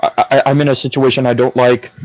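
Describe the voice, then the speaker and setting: A man reasoning his way through a point in a measured, slightly stumbling manner during a casual call discussion. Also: medium pitch, level tone, a little mellow, dry recording. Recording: dry, dull, lo-fi call audio.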